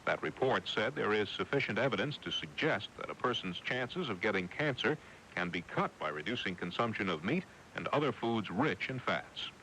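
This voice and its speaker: Male American radio anchor. Scripted newscast, deep-set bright delivery, slightly noisy vintage AM broadcast. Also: sing-song, normal speed.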